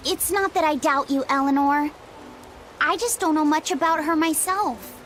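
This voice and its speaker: Uma mulher dublando um menino jovem, sincero e curioso, de fala gentil e hesitante. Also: female voice, high voice, moderate pace, a bit bright, a little hiss or hum. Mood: earnest. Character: earnest curious young boy. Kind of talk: game dub acting.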